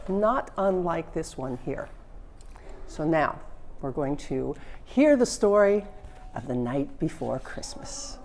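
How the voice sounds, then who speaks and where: Animated woman reading aloud, storybook style. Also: fairly low pitch, a little hiss or hum. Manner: theatrical, animated, deliberate phrasing. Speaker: museum director presenting and reading a classic poem.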